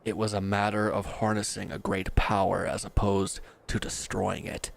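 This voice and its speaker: A man's voice: fairly low pitch, completely flat delivery, moderate pace, vocal fry, slight background noise. A man playing a bitter, wounded villain who is grieving and accusing, speaking with weary sarcasm in a scripted audio roleplay addressed to the listener. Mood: sardonic, accusing.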